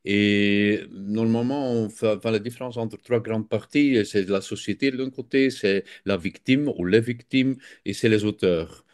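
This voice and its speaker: A man's voice: fairly low pitch, moderate pace, few pauses. A man with a Flemish accent, a native Dutch speaker, explaining his field as an interview guest while often searching for words. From Belgium. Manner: hesitant, searching for words, many fillers. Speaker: guest expert.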